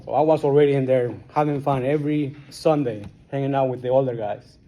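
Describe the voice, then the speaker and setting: Speaker at a recovery meeting: a Guatemalan-accented man in his forties, mellow tone, slight room hiss behind him. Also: medium pitch, fairly even intonation, low-quality recording. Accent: Guatemalan Spanish. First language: Spanish. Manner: halting, plain-spoken, restarts often. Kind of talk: personal recovery story.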